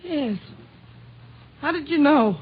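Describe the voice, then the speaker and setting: A woman's voice: sits high, expressive, slow pace. A woman acting as an edgy, defensive housewife with something to hide in an old radio drama. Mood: defeated. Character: nervous, evasive housewife hiding her boyfriend's crime. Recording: dull, hissy old radio recording.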